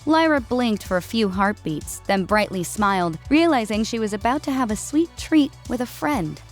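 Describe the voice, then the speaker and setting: A woman's voice: on the high side, expressive, normal speed, soft background music. A woman reading a scripted story as its narrator.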